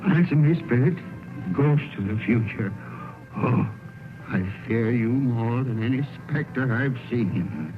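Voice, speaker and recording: Old man, miser, quavering, pleading, animated, slow, tinny radio recording. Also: mid-range voice, over music. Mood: awed. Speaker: lead actor in a radio play. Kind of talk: 1930s radio drama acting.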